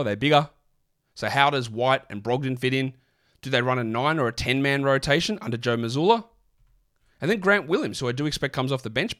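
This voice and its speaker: A man, sick and croaky, hosting a casual basketball podcast with lots of rambling restarts. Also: mid-range voice, fairly bright tone. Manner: rambling, chatty, many fillers and restarts. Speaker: fantasy basketball podcast host. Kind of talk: casual solo podcast talk.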